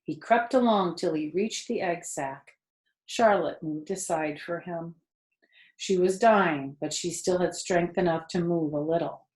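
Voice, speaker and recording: A woman reading a children's story aloud expressively, pausing to explain things to young listeners. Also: lowish voice, a little mellow. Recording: roomy indoor echo.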